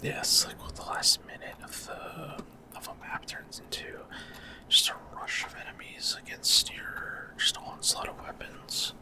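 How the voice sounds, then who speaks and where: A man giving casual ASMR video game commentary in a breathy, unvoiced whisper, explaining things without hurry. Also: measured pace. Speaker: gaming ASMR creator.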